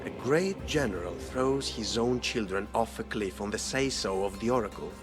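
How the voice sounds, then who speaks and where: An actor playing a suave, theatrical schemer who taunts pompously in a video game. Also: male voice, lowish voice, expressive, moderate pace, close to an everyday voice. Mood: smug, amused. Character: suave, theatrical, mocking villain, male schemer. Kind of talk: video game cutscene acting. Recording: background music under the voice.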